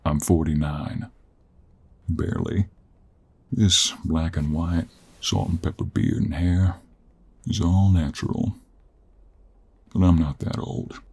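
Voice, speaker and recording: A man playing a gruff, teasing, weary 49-year-old cowboy monster hunter in an intimate audio roleplay, with a husky Western American drawl. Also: low voice, a little mellow, heavily acted. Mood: playful, grumbling. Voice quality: gravelly, husky. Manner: slow, drawling, with heavy sighs and pauses. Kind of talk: intimate audio roleplay acting, addressed to the listener.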